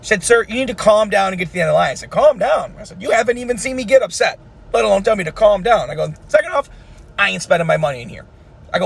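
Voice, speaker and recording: Loud ranting man, raspy and high. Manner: loud, heated, mocking quoted voices. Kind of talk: angry storytelling rant.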